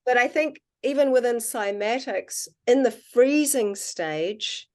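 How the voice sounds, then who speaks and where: A woman with a New Zealand accent, a podcast guest and water researcher, talking in an earnest, musing way and slowing down on her key points. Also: fairly high pitch, animated. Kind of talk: casual conversational interview.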